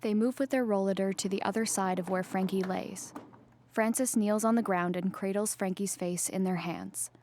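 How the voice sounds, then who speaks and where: A woman reading scripted stage directions in a measured, neutral, descriptive manner. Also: mid-range voice, steady tone, darkish tone, slight background noise. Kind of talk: scripted narration, present-tense stage directions.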